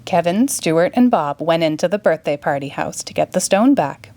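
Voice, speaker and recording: A woman narrating a children's story in a clear, expressive read-aloud manner. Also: fairly low pitch, moderate pace. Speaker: storybook reader.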